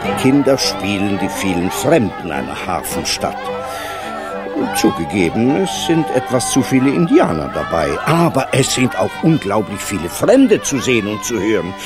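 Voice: medium pitch, very animated, moderate pace, over loud music, lightly acted. An actor playing a theatrical storyteller who savours the words while narrating a whimsical children's radio play. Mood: amused, vivid. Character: expressive storyteller narrating a whimsical town festival.

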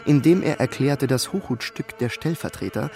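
A man's voice: mid-range voice, moderate pace, creaky, over music, lightly acted. A precise male researcher played by an actor recites and corrects facts in a documentary radio play. His voice sits in a middle register, with an everyday, matter-of-fact delivery. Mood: precise, factual.

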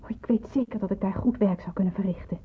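An actress playing an earnest, resigned young nurse in a radio drama, speaking hesitantly. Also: female voice, medium pitch, quick pace, husky. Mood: earnest, determined. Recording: muffled, narrow-band old radio play, hissy.

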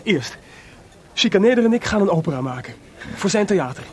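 An actor playing a feverishly excited, sleepless and proud male composer in a radio drama, confiding and rhetorical. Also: high voice, brisk, crowd noise. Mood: excited, boastful.

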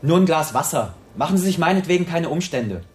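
A man's voice: a little high, lively intonation, fairly quick, faint music behind, lightly acted. An actor playing a pushy, falsely friendly young man in a naturalistic radio drama, cheeky and provoking. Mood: nosy, needling.

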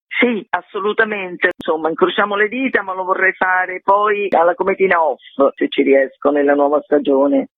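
A woman's voice: medium pitch, expressive, normal speed, dry recording. A woman explaining things in an informal, self-ironic way as a theatre guest in a radio interview over the phone. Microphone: phone call to a radio show. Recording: tinny, muffled phone line.

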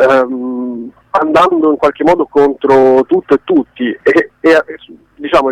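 A man's voice: medium pitch, creaky, no echo, poor audio. A man giving an informal interview, explaining reflectively and often circling back to rephrase. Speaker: interviewed documentary co-director.

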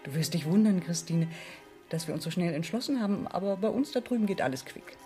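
An actress as a middle-aged society aunt in a radio drama. Her low voice is fussy and insistent, that of a woman guarding her status and dodging awkward truths. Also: animated, quick pace, mellow, faint music behind, close to an everyday voice. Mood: brisk, placating. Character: wealthy, status-anxious aunt, brisk and evasive. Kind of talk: German radio play acting.

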